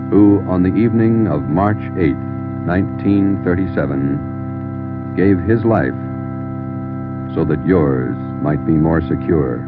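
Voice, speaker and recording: A man announcing formally and solemnly in measured 1940s radio style. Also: deep voice, lively intonation. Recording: muffled phone-like 1940s radio, loud organ music.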